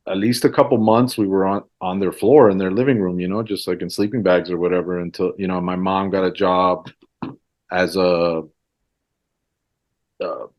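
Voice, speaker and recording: A man's voice: sits low, darkish tone. A man in his forties from Mexico, Spanish his first language, chatting casually as a guest and rambling with many fillers. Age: 40s. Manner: rambling, lots of fillers like "you know". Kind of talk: casual conversation.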